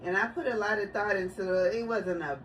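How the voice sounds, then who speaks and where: A woman's voice: medium pitch, a little fast. A woman in her forties talking casually about her family on her video channel, telling stories and laughing easily. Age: 40s. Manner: chatty, storytelling, laughs easily. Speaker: vlogger. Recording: distant mic, noisy room.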